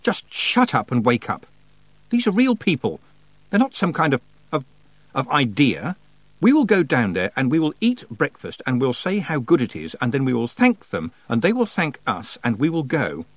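A man voicing a sensible, sharp-tongued young witch girl in a storybook, plain-spoken and firm. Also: mid-range voice, wildly swooping pitch, normal speed, no room sound, voice pushed up. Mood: stern, exasperated.

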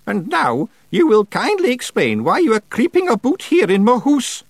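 A man's voice: extremely high pitch, wildly swooping pitch, moderate pace, no room sound, voice pushed up, full character voice. A man voicing an ancient, stern and suspicious witch, questioning sharply in an audiobook. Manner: commanding, sharp interrogator. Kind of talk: audiobook character voice.

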